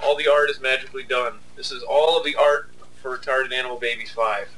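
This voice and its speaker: A man's voice: medium pitch, fairly even intonation, fairly quick, a bit bright. A man casually narrating behind the scenes as an animator, with a sarcastic, mock-complaining manner. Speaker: animator and video host. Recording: distant mic, faint background noise.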